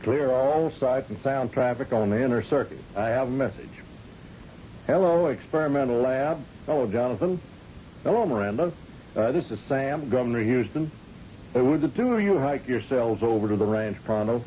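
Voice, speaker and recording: Guy doing a folksy sly space governor, old radio play, lively delivery, muffled lo-fi sound. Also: mid-range voice, a little hiss or hum, close to an everyday voice. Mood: folksy, friendly. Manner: folksy, hesitant drawn-out asides turning to brisk orders. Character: shrewd, folksy, commanding male governor of a space colony. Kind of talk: 1980 radio drama acting. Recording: dull lo-fi old radio broadcast recording.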